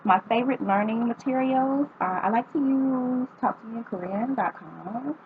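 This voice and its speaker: A woman's voice: a little high, moderate pace, slight background noise. Young woman vlogger, tinny phone-like audio. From North Carolina, United States. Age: 20s. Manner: reads questions aloud, then answers with frequent ums. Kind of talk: casual answering of tag questions.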